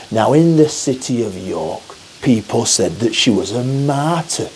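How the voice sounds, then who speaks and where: Yorkshire bloke spinning a history tale, gravelly voice, takes his time, talks right at you. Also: lowish voice, a little mellow. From Yorkshire, England. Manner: theatrical, chatty storyteller addressing viewers directly. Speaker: channel host and history storyteller. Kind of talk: costumed dramatic history storytelling. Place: indoor studio in front of a backdrop screen.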